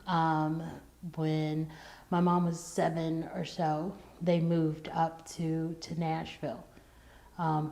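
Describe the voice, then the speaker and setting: A woman telling her family's history, pausing often to search for words. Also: deep voice, gravelly, warm tone. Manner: halting, many fillers and restarts. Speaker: oral history participant.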